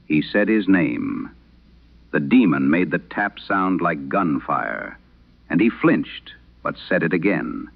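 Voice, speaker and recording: Man playing a very deep, grave old priest. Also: lively intonation, gravelly, slightly rough, phone-quality sound, exaggerated voice. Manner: grave, measured, dramatic pauses. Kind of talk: solemn first-person horror radio storytelling. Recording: band-limited vintage radio sound.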